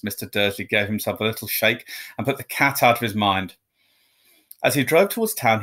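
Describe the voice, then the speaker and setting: A man with a medium-pitched voice reads a storybook aloud for young listeners. His delivery is expressive and measured, leaning on key words for dramatic emphasis. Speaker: storyteller reading aloud to students. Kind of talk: expressive children's storybook reading.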